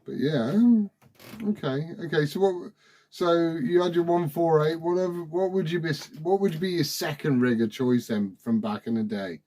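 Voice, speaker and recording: A man's voice: on the high side, normal speed, dark tone. A forty-year-old man, chatting casually and familiarly over CB radio. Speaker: CB radio operator asking questions. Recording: CB radio transmission.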